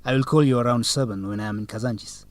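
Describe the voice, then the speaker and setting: An actor playing an eager, courteous young student council president in an educational radio drama, polite and direct. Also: male voice, medium pitch, steady tone, quick pace, no room sound, natural voice.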